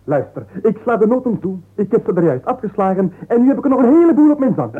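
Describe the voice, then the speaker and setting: Glib male trickster, very high pitch, mock-solemn, wordy, theatrical, radio farce. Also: sing-song, quick pace. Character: glib male rogue, endlessly babbling proverb-twisting charlatan. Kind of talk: comic radio play acting. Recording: tinny narrow-band old radio recording.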